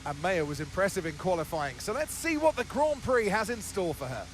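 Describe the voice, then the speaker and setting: Very high-pitched male race commentator. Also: sing-song, fairly quick, faint music behind, low-quality recording. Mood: impressed. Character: keen, impressed male motorsport race commentator. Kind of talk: live sports commentary in a game.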